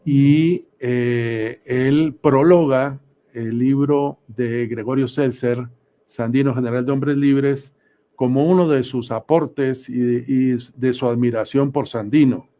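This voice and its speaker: A man in his sixties giving reflective, deliberate interview answers with measured pauses. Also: medium pitch, fairly even intonation, mellow. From Guatemala. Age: 60s. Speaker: interview guest. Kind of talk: reflective radio interview answers, recounting memories.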